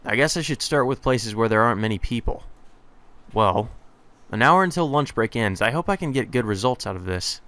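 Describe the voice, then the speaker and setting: A man's voice: mid-range voice, lively intonation, brisk, pushed and bright. A man reading a visual novel aloud as a let's player, mixing the game's text with casual asides.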